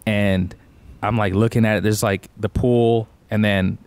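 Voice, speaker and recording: A man's voice: fairly low pitch, fairly even intonation, measured pace, a little mellow, clear, resonant tone, dry recording. A young man from Tucson, co-hosting a podcast and telling a rambling, laid-back story, often saying "bro". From Tucson, Arizona. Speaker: podcast co-host. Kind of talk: casual storytelling chat.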